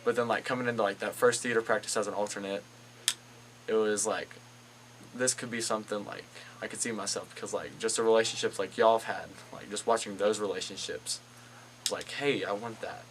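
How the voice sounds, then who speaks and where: A teenage boy, a high school student actor, reflecting casually in an interview with lots of "like". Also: steady tone, a little fast. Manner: informal, filler-heavy "like". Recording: clearly noisy room recording.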